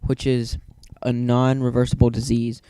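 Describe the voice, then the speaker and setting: A grave male doctor pony, read by a man, speaks in a mellow, mid-pitched voice. The manner is measured and apologetic, as in a story reading. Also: completely flat delivery, clear, resonant tone, no echo, lightly acted.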